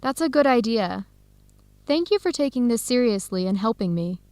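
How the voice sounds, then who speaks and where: An actress playing a warm, enthusiastic young American woman researcher in an educational radio drama. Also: on the high side, animated, moderate pace, no room sound, close to an everyday voice. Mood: friendly, curious.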